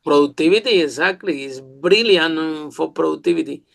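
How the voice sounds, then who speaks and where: A man with a Spanish accent, Spanish as his first language, hosting a podcast interview in an enthusiastic, halting way with many fillers. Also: a little high, vocal fry. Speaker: podcast host. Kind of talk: casual interview chat.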